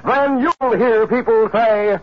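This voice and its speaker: A man's voice: very high-pitched, slow, heavy background noise. A man with an American accent, narrating dramatically as a 1950s radio announcer. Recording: muffled 1950s radio recording. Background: music.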